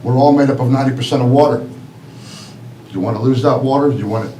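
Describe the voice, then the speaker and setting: A man's voice: medium pitch, quick pace, dark tone. A middle-aged man from Greece, an electrician, giving a plain, emphatic public comment at a city meeting. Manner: plain, emphatic, repeating key words. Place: council meeting room. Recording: echoey hall, distant mic, faint noise.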